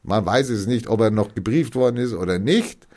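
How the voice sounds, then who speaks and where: Animated man explaining things. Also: lowish voice, moderate pace. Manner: animated explaining, acts out quoted dialogue, frequent restarts. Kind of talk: casual explanatory conversation.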